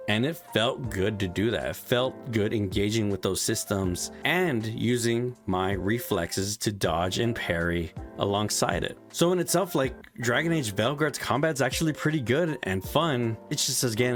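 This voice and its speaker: A man giving a casual, ranting video game review, swearing often and restarting his sentences. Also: on the low side.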